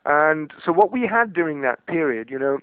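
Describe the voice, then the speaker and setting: A man's voice: a little high, lively intonation, normal speed, no echo. A man from Wales with a Welsh accent, a guest talking expansively and with wry humour, peppering his talk with "you know". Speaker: tourism guest. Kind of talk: conversational interview. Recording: tinny phone line, dry.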